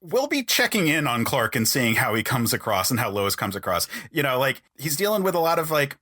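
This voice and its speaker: A man's voice: medium pitch, very animated, fairly quick, bright, forceful tone, no echo, studio quality. A man hosting a podcast, chatting casually in an analytical, wordy way. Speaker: podcast host.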